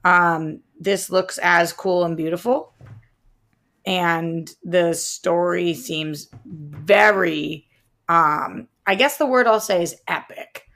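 A young woman co-hosting a casual pop-culture podcast, speaking in a measured, thoughtful way, full of "like" and "um". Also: on the low side, a bit bright. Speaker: podcast co-host.

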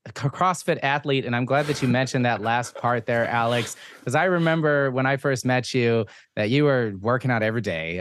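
A man's voice: mid-range voice, no echo. Man hosting a podcast, dry close sound. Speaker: podcast host. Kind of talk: conversational interview introduction.

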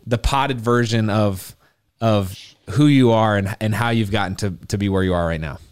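A young man hosting an interview podcast, introducing his guest and asking friendly, measured questions. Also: on the low side, smooth, no echo, studio quality. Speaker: podcast host.

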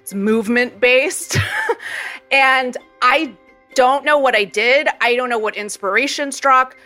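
A woman's voice: sits high, unhurried, sharp tone, faint music behind. A woman from Indonesia, a comedian and storyteller, telling stories from her childhood in a bubbly, wry, self-deprecating way. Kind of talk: storytelling interview.

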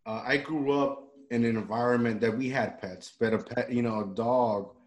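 Podcast host, man from Puerto Rico, mid-pitched, loose and rambling. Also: steady tone, moderate pace. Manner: rambling, frequent restarts and fillers. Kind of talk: casual interview chat.

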